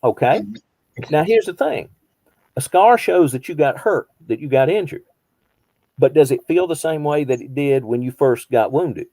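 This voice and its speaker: A man's voice: fairly high pitch, lively intonation, normal speed, warm tone, no echo, low-quality recording. A man in his fifties, a behavioral health counselor, teaching a small group conversationally, posing rhetorical questions and repeating phrases for emphasis. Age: 50s.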